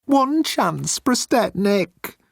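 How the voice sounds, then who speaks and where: A man voicing a timid, pleading young alien officer with a squeaky, flustered voice in a sci-fi audiobook. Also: big pitch swings, very slow, creaky, no echo, studio quality, exaggerated voice. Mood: miserable, pleading. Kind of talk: audiobook character acting.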